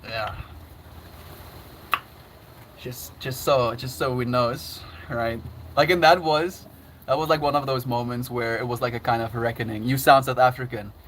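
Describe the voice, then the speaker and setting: A man's voice: medium pitch, steady tone, unhurried, pauses often, a bit bright, slight background noise. A man chatting casually on his livestream, laughing often and reading out chat messages.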